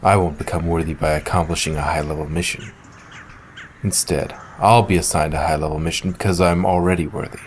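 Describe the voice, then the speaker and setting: A man playing a solemn, reserved young ninja and elder brother in an audio drama, speaking in a measured, formal, brooding way. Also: very deep voice, fairly even intonation, slight background noise. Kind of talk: fan audio drama acting.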